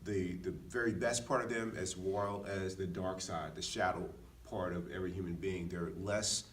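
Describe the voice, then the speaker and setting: A man's voice: deep voice, steady tone, moderate pace. Candid American man, slightly distant mic. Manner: candid, self-deprecating, peppers talk with "man" and "dude". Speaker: podcast speaker, former Marine. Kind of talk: casual personal storytelling.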